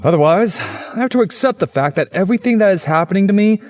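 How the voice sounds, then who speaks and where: A man's voice: sits high, expressive, fast talker, a little mellow, soft background music. A man playing a cocky, sceptical young man who narrates a visual novel game with dramatic flair. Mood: incredulous.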